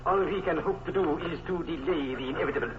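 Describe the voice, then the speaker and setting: An actor playing a stern, fatalistic Prussian colonel in an old radio drama, with precise, formal speech. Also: male voice, on the high side, natural voice. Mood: grim. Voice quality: precise, formal, deliberate phrasing. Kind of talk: 1950s radio drama acting. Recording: noisy lo-fi old radio recording.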